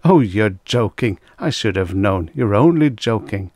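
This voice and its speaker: A man's voice: fairly low pitch, animated, measured pace, a little mellow, no room sound, studio quality. A man voicing an effusive, pompous mayor who gushes as if making a grand speech. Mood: amused, dismissive. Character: effusive, pompous small-city mayor, a man, jovially disbelieving.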